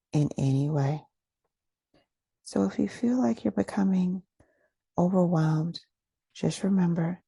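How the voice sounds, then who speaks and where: A woman leading a guided meditation in a soft, breathy voice, soothing and unhurried, pausing between short phrases. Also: on the low side, no echo. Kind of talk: guided meditation, gentle scripted instruction.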